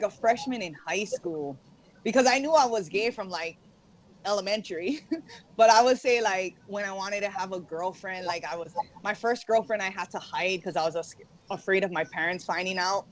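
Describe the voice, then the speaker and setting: A woman's voice: mid-range voice, normal speed, poor audio. A young woman from Hawaii, a guest in a casual interview, who laughs often and fills her talk with "like". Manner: laughs often, says "like" a lot.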